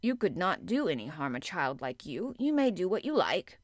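Woman playing a weary, fretful gentleman guardian. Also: on the low side, very animated, normal speed, no echo, studio quality. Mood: worried, exclaiming. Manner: weary, absent-minded, worried. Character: miserable, fretful, distracted middle-aged gentleman guardian. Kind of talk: audiobook character acting.

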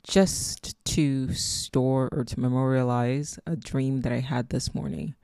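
A woman's voice: rumbling low, steady tone, slow, mellow, no echo, studio quality. A woman with a Nigerian accent hosting a solo podcast, musing reflectively and meandering as she talks. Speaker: podcast host. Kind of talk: casual solo podcast monologue.

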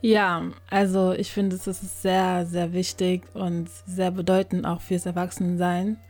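A woman's voice: lowish voice, level tone, unhurried, darkish tone, faint music behind. A woman in her twenties, a podcast guest, answering briefly and matter-of-factly. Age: 20s. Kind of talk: casual podcast chat.

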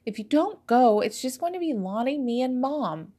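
A woman voicing a squeaky, soft-hearted, defensive sister in an audiobook story. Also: very animated, moderate pace. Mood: pleading.

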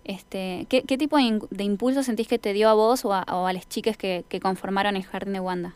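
Young woman, Argentine accent, radio co-host, smooth clear voice, dry close sound, rambling, conversational. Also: medium pitch, steady tone, fairly quick, a little mellow, no room sound. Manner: rambling, self-correcting. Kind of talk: casual radio interview chat.

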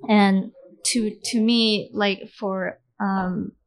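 Woman author, english not her first language, slow careful answers, lots of ums. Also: medium pitch, fairly even intonation. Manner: thoughtful, measured, with many fillers. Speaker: author on a panel. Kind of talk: panel discussion answer.

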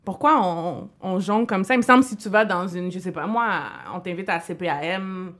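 A woman's voice: fairly low pitch, a little fast, a bit bright. A woman with a Quebec French accent, a podcast guest who teases the hosts and makes her point with questions. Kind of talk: casual podcast chat.

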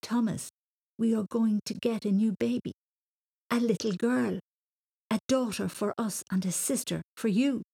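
A woman's voice: on the high side, steady tone, measured pace, creaky, dark tone, breathy edge, voice pushed up, exaggerated voice. A woman voicing a loving, eager mother who talks tenderly and reassuringly in a children's audiobook. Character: loving, excited mother of a young boy.

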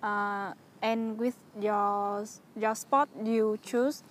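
A young woman student, answering questions hesitantly with many pauses and fillers. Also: medium pitch, steady tone, a little hiss or hum. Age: young adult. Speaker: university student.

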